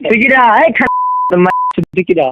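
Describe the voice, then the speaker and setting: A Bangladeshi man with a very high voice talks fast and bluntly. He is on a dull phone line with faint music underneath. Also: sing-song, background noise. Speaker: prank call recipient.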